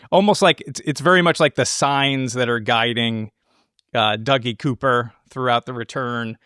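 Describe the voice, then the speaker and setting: Guy podcast host chatting through a movie, explains as he thinks, mid pitched voice, really dry recording. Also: fairly bright tone, no echo. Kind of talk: casual film analysis chat.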